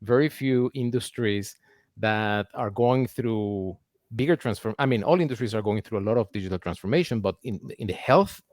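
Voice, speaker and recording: A man's voice: lowish voice, moderate pace, a little mellow, no room sound. Male host, close dry sound. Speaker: podcast host. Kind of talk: conversational interview.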